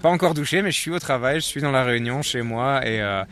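A man's voice: mid-range voice, fast talker, a bit bright, a little hiss or hum. Frenchman chatting casually about himself. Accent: French from France. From France. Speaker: interview guest, office professional working from home. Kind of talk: casual conversational interview answers.

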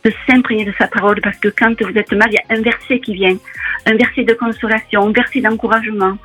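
An older woman from Provence with a singing Provençal accent, calling in to a radio show and speaking earnestly, with halting repeats. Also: medium pitch, steady tone, a bit bright, breathy edge, soft background music. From Provence, southern France. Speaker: caller. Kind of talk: phone-in testimony.

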